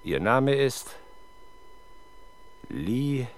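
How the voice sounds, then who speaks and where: An actor playing a world-weary, dutiful police inspector in a 1970s German radio crime drama, formal and halting in manner. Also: male voice, medium pitch, very animated. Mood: grim, resigned. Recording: 1970s radio drama, clear background noise.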